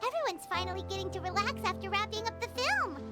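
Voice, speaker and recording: A woman voicing a tiny, excitable, childlike fairy companion in a video game, with a squeaky voice. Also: quick pace. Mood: excited. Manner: speaks of self in third person. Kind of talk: video game cutscene acting. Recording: background music under the voice.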